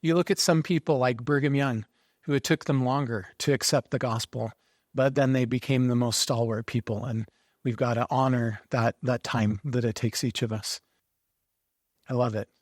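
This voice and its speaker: A man's voice: mid-range voice, fairly even intonation, normal speed. A male podcast host asks questions and answers his guest with plain encouragement. His voice sits in a middle range, and the recording is dry, with no room echo. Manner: friendly, affirming. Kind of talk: interview.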